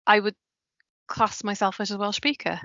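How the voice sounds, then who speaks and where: A woman's voice: mid-range voice, fairly even intonation, normal speed, bright, forceful tone, no room sound. Brief: chatty female moderator, hesitant, dull lo-fi call audio, dry sound. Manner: chatty, hesitant, self-deprecating. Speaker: session moderator. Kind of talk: casual Q&A chat.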